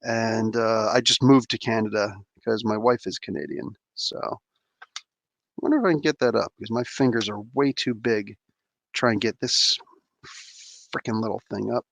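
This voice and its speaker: A man's voice: lowish voice, fairly even intonation, normal speed, gravelly, fairly bright tone, no echo. A man in his thirties from New Jersey, hosting a casual livestream, rambling and slangy as he reads chat and opens toys. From New Jersey, USA. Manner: laid-back, rambling, laughs often, slangy. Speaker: livestream host.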